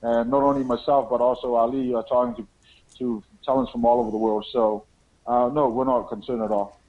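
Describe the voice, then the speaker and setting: A man speaking as a promotion president, giving assured, measured answers to press questions on a conference call. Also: mid-range voice, level tone. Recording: dull lo-fi conference phone line.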